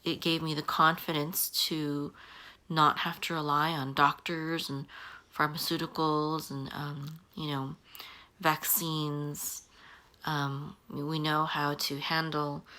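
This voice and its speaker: A woman in her forties talks through her own experience in a loose, unscripted way, filling the gaps with ums and restarting phrases. Her voice sits low yet carries a bright, forward edge. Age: 40s. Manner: halting, many ums and restarts. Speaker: online business coach. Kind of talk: casual talking-head advice.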